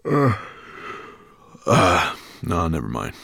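Guy doing a tired gentle dragon, mild and murmuring, storybook reading, close dry sound. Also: medium pitch, big pitch swings, very fast, no room sound, pitched above the performer's own voice. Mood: sleepy. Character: Firedrake, gentle weary male dragon.

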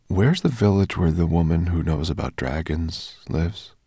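A man voicing a calm, low dragon. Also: a little slow, slightly rough, dry recording, studio quality, exaggerated voice. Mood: thoughtful. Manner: calm, thoughtful. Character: gentle thoughtful male silver dragon.

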